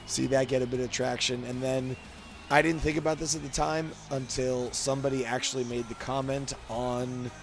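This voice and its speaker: Male commentary host, casual and rambling, jokey, self-deprecating, faint music underneath. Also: mid-range voice. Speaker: host commenting on wrestling video game cutscenes. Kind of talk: casual commentary with mock wrestling announcing.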